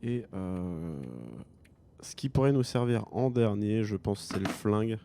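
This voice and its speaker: A man's voice: lowish voice, unhurried, background noise. A man with a French accent commenting a game he plays, joking and thinking aloud. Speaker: gaming streamer. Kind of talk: let's play commentary.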